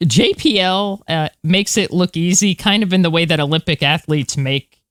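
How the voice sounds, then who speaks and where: A woman's voice: on the low side, moderate pace, no room sound, clean studio sound. A woman co-hosting a podcast, talking through science news in a conversational way. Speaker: podcast co-host.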